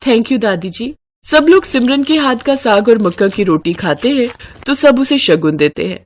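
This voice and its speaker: A woman's voice: fairly high pitch, lively intonation, normal speed. A woman storyteller reading scripted moral story narration.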